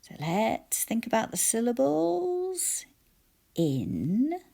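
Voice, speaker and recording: A woman with a soft, often whispered voice teaching spelling and sounding out letters one by one. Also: on the high side, huge pitch swings, gravelly. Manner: slow, soft-spoken, sounds out each letter.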